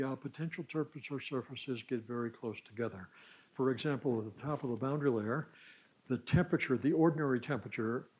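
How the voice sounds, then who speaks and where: A man lecturing at a science summer school, with a middle-pitched voice. He explains technical material step by step, pausing as he searches for words and dropping in informal asides. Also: darkish tone.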